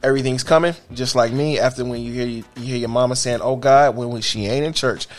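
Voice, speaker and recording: A man's voice: medium pitch, steady tone, fairly quick. A man hosting a podcast, talking in a brash, profane way, laughing often and repeating "you know what I'm saying.". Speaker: podcast host. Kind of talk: casual crude podcast banter.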